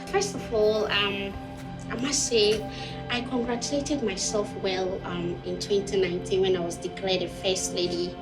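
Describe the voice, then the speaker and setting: A woman's voice: medium pitch, fairly even intonation, normal speed, loud music behind the voice, low-quality recording. A woman from Ghana, a safety professional, speaking earnestly about her career in an interview. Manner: earnest, with frequent "um". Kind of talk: interview talk.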